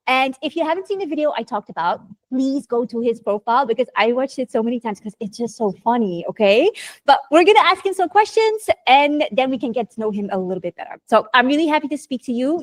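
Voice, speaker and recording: A woman with a fairly high voice hosts a conversational interview. She speaks informally and with emphasis, often addressing the audience directly, and the recording is close and dry. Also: dry recording. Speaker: interviewer and host.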